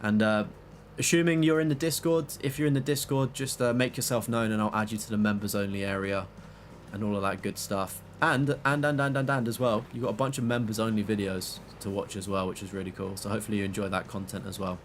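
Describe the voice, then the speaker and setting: A man's voice: fairly low pitch, fairly even intonation, fast talker, a little hiss or hum. A young man chatting casually on a game live stream, rambling with fillers and casual swearing.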